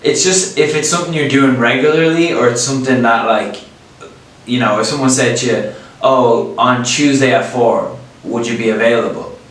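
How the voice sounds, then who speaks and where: A man's voice: medium pitch, normal speed, a bit bright. A young man with an Irish accent chatting casually as a co-host, explaining with plenty of "like" and "you know" and laughing often. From Ireland. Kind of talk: casual chat. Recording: echoey room, voice a bit far from the mic, faint hiss.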